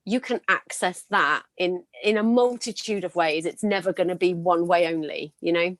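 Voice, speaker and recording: A woman with a slightly gravelly voice hosting a podcast. She shares stories in an earnest, encouraging way. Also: lowish voice, normal speed, no room sound. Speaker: podcast host. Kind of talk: casual interview chat.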